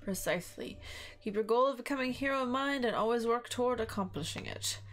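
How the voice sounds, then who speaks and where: A woman voicing a formal, stern male schoolmaster with a put-on German accent, speaking in a measured, courteous but firm way over music. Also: on the high side, close to an everyday voice.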